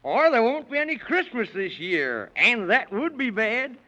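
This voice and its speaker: A man's voice: very high-pitched, sing-song, a little slow, bright, forceful tone, full character voice. A man playing an earnest, courteous traveling companion who talks in old-fashioned rhyme in a 1930s children's radio serial. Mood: earnest, worried. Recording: muffled 1930s radio recording.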